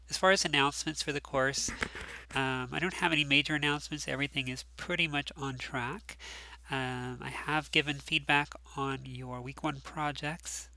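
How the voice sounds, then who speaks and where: A man's voice: medium pitch, pushed and bright, slight background noise, rough recording. Stuffed-up, nasal man giving a lecture. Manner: casual, many ums and restarts. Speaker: course instructor. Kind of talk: recorded class lecture.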